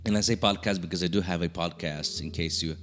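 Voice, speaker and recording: Brazilian man, low voice, halting. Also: fairly even intonation. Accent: Brazilian Portuguese. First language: Portuguese. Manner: halting, many restarts and fillers. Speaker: YouTuber. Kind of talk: casual talk to camera. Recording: soft music underneath.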